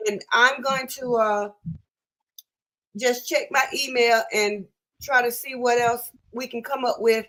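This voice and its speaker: A woman hosts a live stream in a high, bright voice. She is upbeat, laughs often and calls her viewers y'all. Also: fairly even intonation, measured pace. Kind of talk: casual live-stream chat.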